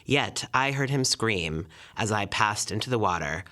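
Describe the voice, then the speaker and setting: A man reading an old memoir aloud as scripted literary narration, in a measured, formal way. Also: fairly low pitch, lively intonation, bright, forceful tone.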